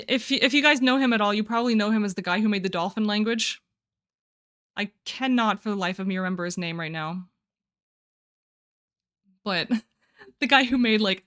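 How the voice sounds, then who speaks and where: A young woman streaming live, chatting casually with her viewers, rambling and laughing often as she answers chat. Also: medium pitch. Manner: giggly, rambling, excitable.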